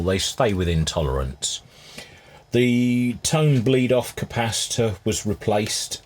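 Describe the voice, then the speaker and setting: Male hobbyist restorer, very low and husky, methodical matter-of-fact demonstrator. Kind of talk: hands-on repair narration, semi-scripted demonstration.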